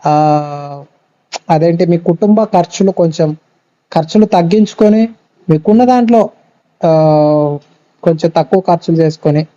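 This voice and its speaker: A high-pitched man's voice with a Rayalaseema Telugu accent, soft-edged and very mellow. He counsels earnestly and firmly, repeating his phrases and seeking agreement as he goes. It comes through as a dull, lo-fi phone call recording. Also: normal speed, long pauses. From Kurnool, Andhra Pradesh, India. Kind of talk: advisory phone conversation.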